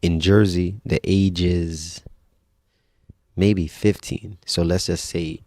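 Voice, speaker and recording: A man in his thirties telling his own life story in a relaxed, conversational way as a podcast guest. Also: low voice, slow, creaky, slight background noise. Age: 30s. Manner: relaxed, conversational, frequent restarts and religious phrases. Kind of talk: personal storytelling in casual conversation.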